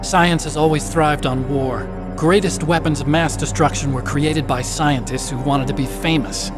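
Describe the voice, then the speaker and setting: An actor playing an earnest, sensitive, nerdy young robotics engineer and guilt-ridden idealist in a video game, with a boyish manner. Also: male voice, a little high, steady tone, moderate pace, music in the background, lightly acted. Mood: regretful, resolute. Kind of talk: video game cutscene acting.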